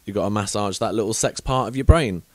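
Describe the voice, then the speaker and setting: A man's voice: on the low side, quick pace, dry recording. A man from England hosting a podcast, chatting casually with frank, awkward rambling and many fillers. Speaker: podcast host.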